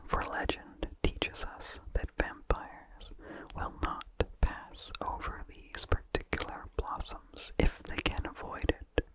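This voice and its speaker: A reader whispering a vintage horror story for ASMR in a breathy, unvoiced whisper, with deliberate phrasing, pauses and soft mouth sounds. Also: rough recording.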